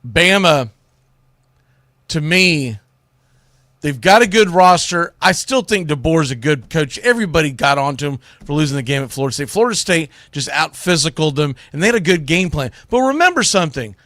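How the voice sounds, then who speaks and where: A man's voice: fairly high pitch, big pitch swings, moderate pace, fairly bright tone, dry recording, clean studio sound. A man hosting a sports radio show, giving opinionated commentary in a blunt, emphatic, folksy way with salty slang.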